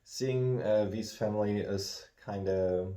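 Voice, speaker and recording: A man, co-hosting a casual chat, who talks in a halting, reflective way with many restarts. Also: lowish voice, mellow. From Germany. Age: 30s. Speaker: co-host. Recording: roomy echo.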